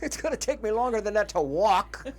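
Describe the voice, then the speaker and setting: Wry tabletop player with very lively pitch. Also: fairly quick. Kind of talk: casual game chat.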